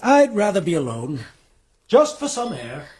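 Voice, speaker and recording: An actor playing an eager but evasive American husband in a radio drama, with a husky voice. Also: male voice, high-pitched, huge pitch swings, unhurried, a little mellow, full character voice. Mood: curt, evasive.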